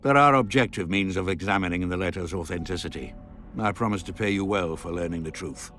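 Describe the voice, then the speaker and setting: An actor playing a proud, haughty baron in a video game, speaking in a stiff, formal and imperious manner. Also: male voice, sits low, brisk, fairly bright tone. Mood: coldly imperious. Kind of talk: video game cutscene voice acting. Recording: music behind the voice.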